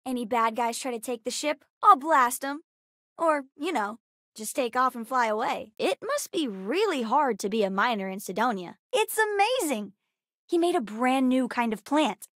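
A woman voicing a bright, bookish, sassy young girl in a video game, earnest, chatty and precocious. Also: high voice, very animated, normal speed, dry recording, studio quality. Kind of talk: video game character dialogue.

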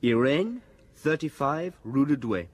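An actor playing an eager, deferential young police detective in a radio drama, tentative and respectful. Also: male voice, mid-range voice, animated, measured pace.

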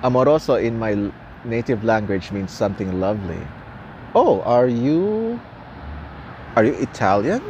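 Young male streamer who speaks Tagalog natively, casual and animated, recorded outdoors on a phone with faint street noise. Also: medium pitch, normal speed. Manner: chatty, laughs often, repeats words. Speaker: live streamer. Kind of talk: casual chat with viewers. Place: outdoors at a gas station at night. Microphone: phone camera at arm's length. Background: traffic and city ambience.

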